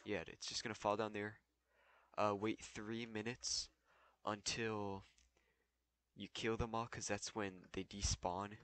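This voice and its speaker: Young guy talking slow n low over his gameplay, lots of likes and ums. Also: flat delivery, a bit bright, smooth. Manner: loose, filler-heavy, thinking aloud while playing. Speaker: gaming tutorial creator. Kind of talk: casual gameplay walkthrough.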